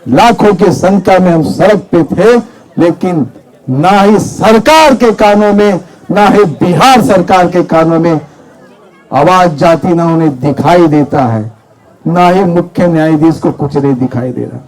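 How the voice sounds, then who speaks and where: Very high-pitched man giving slow rally speech. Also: low-quality recording. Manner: forceful, declamatory, repetitive rhetorical questions. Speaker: Buddhist monk and activist speaker. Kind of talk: impassioned political rally speech. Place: stage at a public rally.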